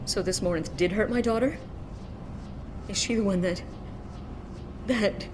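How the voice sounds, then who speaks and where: An actress playing a grieving, weary mother in a video game, speaking in a soft, halting, emotional way. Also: mid-range voice, background noise, close to an everyday voice. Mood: troubled, bitter. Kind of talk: dramatic video game acting.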